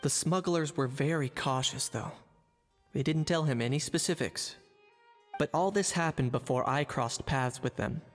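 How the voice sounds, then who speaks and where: A man playing a composed, observant investigator in a video game, narrating in a measured, analytical way. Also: medium pitch, long reverb tail, soft background music, natural voice. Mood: calm, explanatory.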